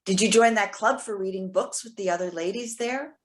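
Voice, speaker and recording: A woman's voice: medium pitch, a little fast, natural voice. A woman playing a hopeful, lovestruck elderly woman in readers theater, reading and composing love messages aloud. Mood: tender, reading aloud. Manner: reads and composes messages aloud with murmured asides. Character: lonely, hopeful, romantic elderly woman writing love emails.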